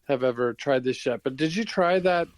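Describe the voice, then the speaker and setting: Older male co-host, medium pitch, relaxed chat format, dry close sound. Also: moderate pace, no echo. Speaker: podcast co-host. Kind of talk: casual chat.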